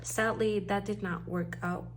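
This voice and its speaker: A woman's voice: medium pitch, steady tone, unhurried, a little hiss or hum. A woman from Puerto Rico with a Puerto Rican accent, chatting casually and rambling a little as she talks through planners in a home vlog. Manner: chatty, rambling, self-correcting. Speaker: planner vlogger, stay-at-home mom.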